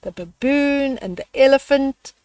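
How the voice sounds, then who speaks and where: An older woman hosting a children's storytime, reading a picture book aloud in a measured, dramatic way. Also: high-pitched, darkish tone, no echo, far from the mic.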